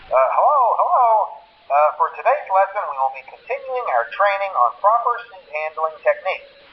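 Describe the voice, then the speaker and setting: Over a dull, slightly noisy phone recording comes an awkward corporate trainer from a video game. His voice sits fairly high and swings around in pitch. He stumbles politely into each message, then delivers procedures with deadpan composure. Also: moderate pace. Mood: awkward, matter-of-fact. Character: nervous, cheerful corporate phone trainer at a pizzeria. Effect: telephone filter. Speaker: employee training caller. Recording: muffled lo-fi phone recording with faint noise.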